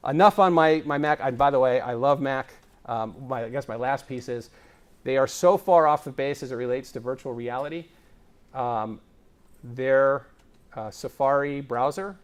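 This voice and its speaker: A man giving a conference presentation on video production, explaining with energy and frequent fillers. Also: medium pitch. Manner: enthusiastic, explanatory, filler-heavy. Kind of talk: tech conference talk. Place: conference stage.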